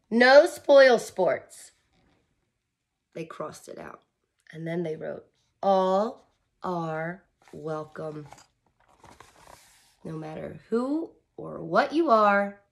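Slow-paced woman reading to kids. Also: mid-range voice, creaky. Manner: expressive, exaggerated wonder, simple child-directed phrasing. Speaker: teacher reading aloud to children. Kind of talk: picture-book read-aloud with commentary for young children. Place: small indoor room, curtained corner.

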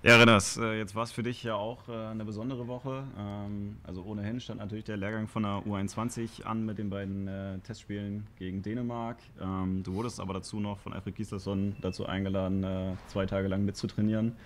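A man's voice: on the low side, little pitch movement, brisk, hardly any pauses, a bit bright, a little hiss or hum. A young man moderating a press conference, asking questions informally with many fillers.

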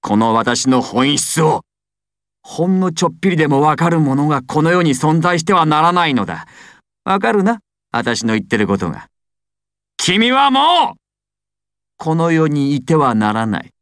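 A man's voice: on the high side, big pitch swings, normal speed, a bit bright, no room sound. Imperious male mafia boss villain, commanding, secretive and theatrical, anime game style, dry recording. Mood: cold menace, then rising threat. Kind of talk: anime and video game voice acting.

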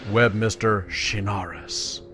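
A man's voice: fairly low pitch, steady tone, takes it slowly, pushed and bright. A man hosting a gaming video, casually commentating and reading quest text aloud in a playful way. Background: game music.

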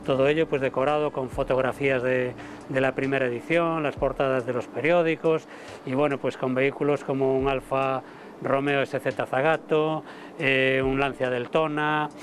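A man in his fifties, a motor show director, speaking to camera in a halting way with many fillers and repeated words, recorded outdoors on a lapel mic. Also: medium pitch, fairly even intonation, slight background noise. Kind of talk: interview to camera. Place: outdoor terrace above a city port.

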